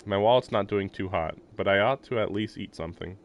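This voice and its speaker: Chatty man with a lowish voice. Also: a little fast, dull audio. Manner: chatty, laughs often, filler-heavy. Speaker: streamer. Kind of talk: casual reaction and reading a game aloud.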